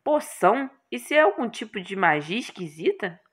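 A woman's voice: medium pitch, sing-song, moderate pace, slightly rough. A woman voicing a brash, irritable young male hero in a game, exclamatory and sarcastic. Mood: annoyed.

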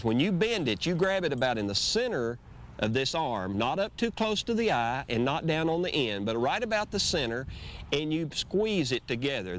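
A man's voice: mid-range voice, a little hiss or hum. Guy in his 40s or so showing how to rig bait out on a lake, folksy and easygoing, voice goes up and down a lot. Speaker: fishing show host. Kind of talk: instructional fishing demonstration. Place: outdoors on a lake, on a boat and on the shore.

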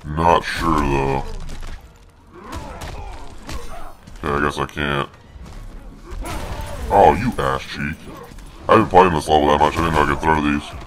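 A man's voice: rumbling low, fairly even intonation, normal speed, pauses often. A man voicing a snarky, crude big monster brute while doing casual, jokey video game commentary. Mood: frustrated.

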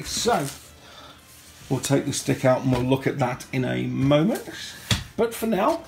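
Hoarse-throated man with a gravelly voice. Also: medium pitch. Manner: chatty and enthusiastic, clears throat. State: sore throat, losing voice. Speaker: product reviewer. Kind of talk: casual unboxing review. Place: indoor home room. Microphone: phone held in hand.

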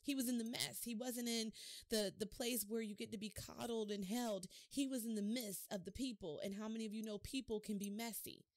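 A woman's voice: on the high side, fairly quick, no echo. A middle-aged woman hosting a devotional podcast, preaching expressively and putting rhetorical questions to her listeners. She gestures with her hands as she talks, recorded close in a home studio. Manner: animated hand gestures while talking. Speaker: podcast host. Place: home office studio, indoors.

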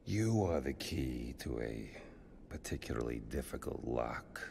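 A man playing a menacing, ambitious older crime boss in a video game, gravelly and deliberate, with theatrical pauses. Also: rumbling low, expressive, a little mellow, slight background noise, full character voice. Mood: menacing, grandiose. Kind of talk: video game dramatic dialogue.

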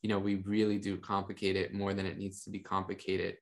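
Young man with a low voice. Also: brisk. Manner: relaxed, rambling, many fillers. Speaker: podcast guest, minister. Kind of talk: casual interview talk. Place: home room with monitors. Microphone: studio mic on a video call.

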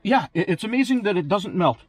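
Canadian man, sixties, halting explanations with uh fillers, a bit high-pitched, close handheld camera in a cramped van. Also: expressive, a little mellow, husky, dry recording. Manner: halting, many uh fillers, dry joking. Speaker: van repair vlogger. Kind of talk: casual hands-on explaining. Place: small van interior, lying under a cabinet.

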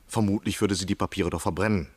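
Actor doing uneasy obsessive gentleman in a radio play, deep voice, confiding. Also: fairly even intonation, fast talker, lightly acted. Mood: urgent, persuading. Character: obsessive, uneasy American gentleman recounting his misdeed. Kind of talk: radio play acting, storytelling and dialogue.

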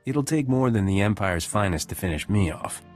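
A man's voice: on the low side, lively intonation, normal speed, no room sound, soft background music. An actor playing a cocky, wisecracking male smuggler captain in a video game, with a wry, flippant delivery.

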